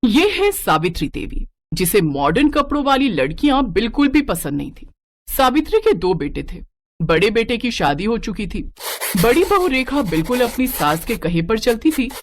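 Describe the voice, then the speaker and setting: A woman narrating a scripted moral story in an expressive storytelling style. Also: on the high side, moderate pace.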